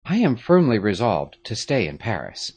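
A man voicing a brave, thoughtful young Englishman who speaks quietly but firmly in an audiobook. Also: lowish voice, huge pitch swings, moderate pace, husky, voice pushed up, full character voice. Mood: resolute.

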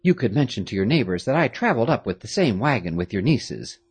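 A narrator voicing a sensible, polite young English gentleman who lays out a plan in a measured, practical way. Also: medium pitch, very animated, breathy edge, dry recording, exaggerated voice. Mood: earnest.

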